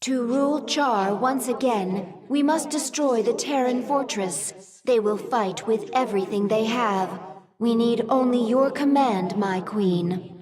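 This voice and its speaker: A woman doing a high, distant alien advisor. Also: unhurried, a crowd in the background, close to an everyday voice. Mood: solemn. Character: female alien advisor to the swarm queen.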